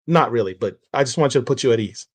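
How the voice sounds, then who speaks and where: A man's voice: mid-range voice, expressive, quick pace. A middle-aged man from Brooklyn hosting a casual interview, friendly and jokey, putting his guest at ease. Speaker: host. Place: room full of comics.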